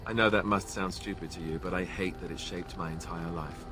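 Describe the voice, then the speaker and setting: A man's voice: sits low, steady tone, brisk, natural voice. An actor playing an earnest, self-deprecating young male warrior in a video game, with a wry, hesitant manner. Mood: troubled, confiding. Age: young adult. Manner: wry, sarcastic, awkwardly hesitant. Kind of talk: video game dialogue acting. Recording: music behind game dialogue.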